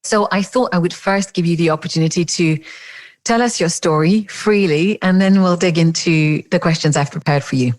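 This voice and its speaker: Low-voiced woman hosting a podcast. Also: normal speed. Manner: gracious, enthusiastic. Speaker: podcast host. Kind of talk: warm conversational interview.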